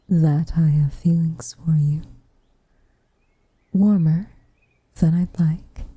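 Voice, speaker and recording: A woman playing a reserved, tenderly affectionate witch in a whispered ASMR roleplay, with soft breathy delivery and measured, formal phrasing. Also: lowish voice, no echo, full character voice. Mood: guarded confession.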